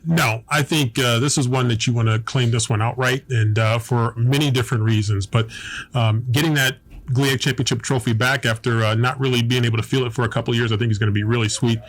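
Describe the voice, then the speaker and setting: Quick conversational male radio co-host. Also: medium pitch, fairly even intonation. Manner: conversational, builds questions in long run-on sentences. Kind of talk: sports radio talk.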